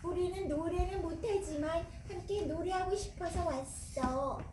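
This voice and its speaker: A woman's voice: extremely high pitch, unhurried, echoey room, noisy background, pitched above the performer's own voice. A woman voicing shy, curious little forest animal children in a storybook, with a childlike, wondering delivery. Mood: shy, eager.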